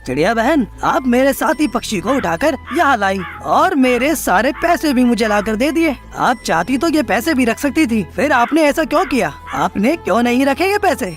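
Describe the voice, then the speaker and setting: A woman's voice: a little high, big pitch swings, moderate pace, few pauses, soft background music. A woman voicing a stern, bossy male crow moneylender in a children's cartoon story, with an emphatic, commanding manner. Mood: moved, grateful.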